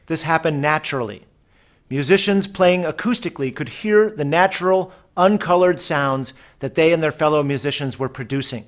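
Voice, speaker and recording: A man reading a scripted explanatory narration for a product video in a measured, articulate, instructional way. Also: on the high side.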